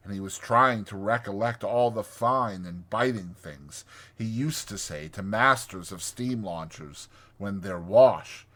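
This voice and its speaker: A man narrating a classic storybook in a theatrical, deliberate way, as a dramatized audiobook. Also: fairly low pitch, fairly bright tone.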